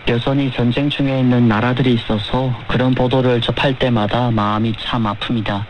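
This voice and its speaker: A man's voice: medium pitch, steady tone, a little fast. Man on noisy shortwave radio. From North Korea. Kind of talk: measured radio talk and letter reading.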